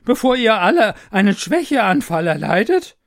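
A man voicing a stout, sensible male royal food taster in a storybook reading, in a high, pleading put-on voice. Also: very animated, normal speed, creaky, dry recording, studio quality, heavily acted.

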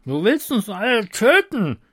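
Casting: a man putting on a gaunt, skeletal royal advisor, high and strained, lively up-and-down delivery. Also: unhurried, no room sound, studio quality, heavily acted. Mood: puzzled.

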